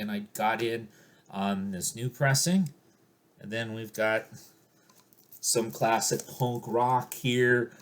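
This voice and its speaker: Slow, rambling man showing records. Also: medium pitch. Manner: relaxed, rambling, many ums. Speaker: record collector vlogger. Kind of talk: casual record show-and-tell.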